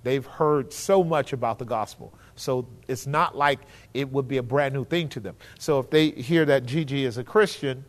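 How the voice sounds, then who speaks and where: A man's voice: medium pitch, normal speed, few pauses. A man leading a church Bible study, teaching in an earnest, emphatic preacherly way.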